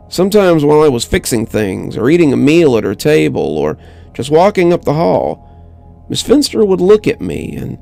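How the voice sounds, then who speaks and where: A man reading a scripted first-person horror story in a measured, dramatic way with deliberate pauses. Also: mid-range voice, gravelly, darkish tone. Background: music under the voice.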